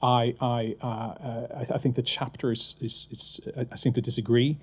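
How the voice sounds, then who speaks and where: A man's voice: mid-range voice, level tone, normal speed, sharp tone, slightly rough. A middle-aged man from Sweden, a former fisheries regulator, giving panel remarks with enthusiasm and many fillers and restarts.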